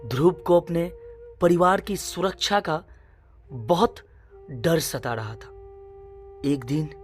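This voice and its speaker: Slow, low-pitched woman narrating a suspense story.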